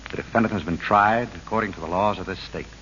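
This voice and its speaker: Stern troubled american governor, low voice, formal, old noisy radio play. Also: male voice, sharp tone. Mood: solemn. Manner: formal, measured, solemn. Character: principled, troubled state governor, rigid about duty. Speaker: actor in radio drama. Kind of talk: old-time American radio drama acting. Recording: muffled, noisy vintage radio recording.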